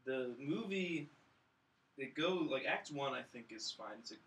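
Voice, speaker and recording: A man's voice: mid-range voice, animated, a little slow, a bit bright, far from the mic, rough recording. A man in casual film-podcast chat, talking in a wry, dryly joking way. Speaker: podcast panelist reviewing films.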